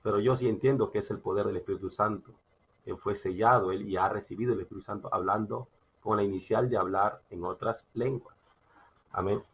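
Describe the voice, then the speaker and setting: A man with a Peruvian accent preaching a sermon, emphatic and halting, repeating phrases as he teaches from scripture. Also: fairly low pitch, steady tone, a little hiss or hum. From Peru. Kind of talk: sermon with Bible reading and personal testimony.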